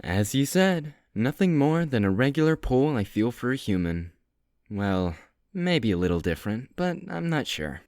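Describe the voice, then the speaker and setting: A man plays a sly young vampire who mocks his rival in a playful way. His smooth, resonant voice swings widely in pitch, and it sounds dry and close to the mic. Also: sing-song, moderate pace, a little mellow, dry recording. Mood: teasing. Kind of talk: amateur roleplay voice acting.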